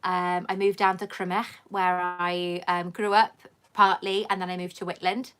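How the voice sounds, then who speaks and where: A woman's voice: on the low side, little pitch movement, moderate pace, fairly bright tone, smooth. A middle-aged woman with a mixed southern English and Welsh accent, chatting on a live Q&A stream and reading viewer comments aloud. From England, raised in Wales. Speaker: host.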